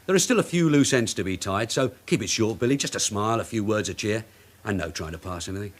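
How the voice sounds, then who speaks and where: A shrewd, calculating police sergeant in a radio drama. His low voice swings freely in pitch as he presses his questions with firm control. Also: expressive, fast talker. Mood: brisk, casual.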